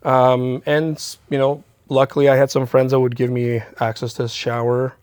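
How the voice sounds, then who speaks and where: Male guest from Chile, candid and measured, mid pitch, dry close sound. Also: flat delivery, a little mellow, clear, resonant tone, dry recording. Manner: open, measured, speaks frankly about recovery. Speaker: community safety consultant. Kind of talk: candid interview conversation. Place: theatre stage, live show.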